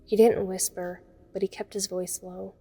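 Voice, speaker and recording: A woman's voice: medium pitch, level tone, moderate pace, mellow, slightly rough, soft background music. A woman playing a frightened young woman, narrating a scripted first-person horror story. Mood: suspenseful. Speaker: voice actor narrating a fan audio drama.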